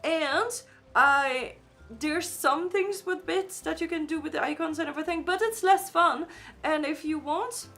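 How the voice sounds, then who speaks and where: A high-pitched woman with a fried, gravelly texture talks casually to her live-stream audience. English is not her native language, and she rambles through fillers and restarts while reading chat. Light game music plays behind her. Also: measured pace, sharp tone. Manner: chatty, reads chat aloud, frequent fillers and restarts. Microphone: desk microphone.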